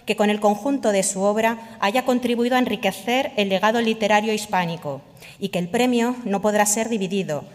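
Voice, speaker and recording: Lady reading official minutes, mid pitch, echoey hall. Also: brisk. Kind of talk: formal document reading. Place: large indoor hall. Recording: reverberant ceremony hall.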